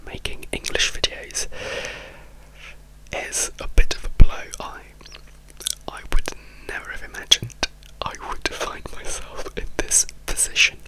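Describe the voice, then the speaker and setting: Slow, whispering man. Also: rough recording. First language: Italian. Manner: soft, slow, intimate whisper with frequent restarts. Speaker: ASMR YouTuber. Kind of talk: whispered ASMR ramble. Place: indoors, home room.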